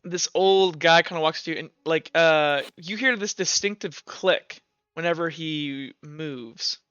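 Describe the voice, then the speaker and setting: A man's voice: fairly high pitch, unhurried, a bit bright, smooth, dry recording, muffled recording. A young man narrating as game master of a tabletop roleplaying game, in a loose, rambling way. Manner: loose and rambling, many fillers.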